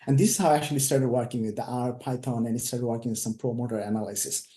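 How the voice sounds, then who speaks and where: A man's voice: medium pitch, steady tone, quick pace, dark tone, reverberant. A man from Bangladesh giving a career talk as an invited speaker over a video call, hesitant and full of fillers.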